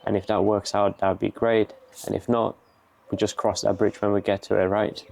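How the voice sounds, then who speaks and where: A young man with a Bulgarian accent, casually explaining as he cooks outdoors in a garden, relaxed and matter-of-fact. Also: fairly low pitch, moderate pace, vocal fry. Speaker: vlogger. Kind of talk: casual explaining while cooking.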